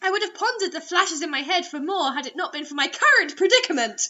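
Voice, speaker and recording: Very high-pitched, theatrical woman reading stories. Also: lively intonation, fast, bright, forceful tone. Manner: theatrical, sarcastic. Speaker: host reading bad online fiction aloud. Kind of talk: dramatic story reading with mocking asides. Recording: dull lo-fi recording.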